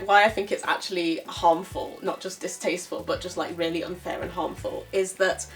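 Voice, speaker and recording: This is a woman on a discussion panel. She gives her opinions informally and candidly, explaining as she goes. She is picked up from a little way off, with music playing softly underneath. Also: medium pitch. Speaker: panel speaker answering audience questions. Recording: distant mic, music underneath.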